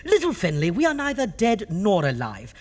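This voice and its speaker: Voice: medium pitch, wildly swooping pitch, normal speed. A performer playing a flamboyant undead doctor who hosts a campy horror radio show with theatrical, mock-sweet delivery. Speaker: host.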